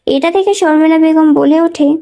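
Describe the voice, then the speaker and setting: High-pitched woman narrating a story. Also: moderate pace, dark tone. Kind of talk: scripted dramatic story narration.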